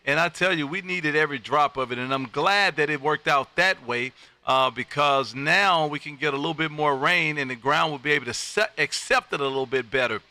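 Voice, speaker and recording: A man's voice: on the high side, brisk, pushed and bright. An American man hosting an amateur radio livestream, chatting casually in a folksy, effusive way. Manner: folksy, effusive, peppers talk with friendly address. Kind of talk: casual ham radio chat.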